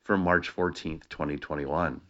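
A man's voice: very deep voice, fairly even intonation, measured pace, a bit bright. A man giving a brief spoken introduction as the announcer of a recorded church service. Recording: slightly muffled, lo-fi.

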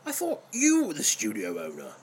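A man's voice: medium pitch, wildly swooping pitch, normal speed, husky. A man playing a flustered, incredulous narrator in a comic audio drama. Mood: exasperated. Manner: exasperated, incredulous. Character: exasperated, flustered narrator stuck with amateur producer.

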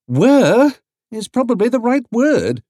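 A man's voice: very high-pitched, very animated, slow, warm tone, no room sound, studio quality, voice pushed up, heavily acted. A man voicing a gossipy woman at the market who talks knowingly. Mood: wry.

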